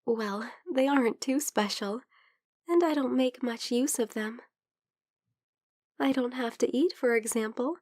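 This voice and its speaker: A tender young vampire girl played by a woman speaks in a high, gentle voice, very slowly and hesitantly. She sounds a bit under the weather, often dropping toward a whisper in a close, dry ASMR roleplay. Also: no room sound. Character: caring young female vampire best friend, sick, tender. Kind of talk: ASMR roleplay, intimate, soft-spoken.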